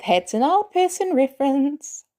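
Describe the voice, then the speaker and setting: A woman acts out a teenage boy in an audio story. He is eager and stubborn, pitched high, and blurts his questions with cheeky, excitable curiosity. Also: a little slow. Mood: cheeky, playful.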